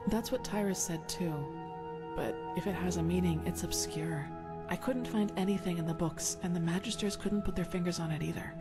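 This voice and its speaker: A woman's voice: fairly low pitch, a little fast, natural voice. An actress playing an earnest, frank female member of a holy order in a fantasy game, speaking conversationally. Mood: pensive, troubled. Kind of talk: cinematic fantasy game voice acting. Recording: loud music behind the voice.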